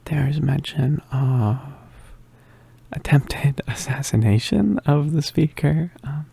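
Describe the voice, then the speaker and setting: An ASMR creator giving a casual, soft-spoken introduction in a hesitant, often whispered and breathy voice. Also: mid-range voice, slow pace.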